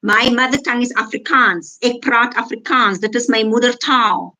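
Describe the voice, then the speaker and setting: A woman's voice: on the high side, normal speed, bright, forceful tone. A woman from Africa, casually reading out comments and answering them with sarcasm. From South Africa. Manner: sarcastic, reads out and rebuts comments. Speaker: vlogger reacting to comments.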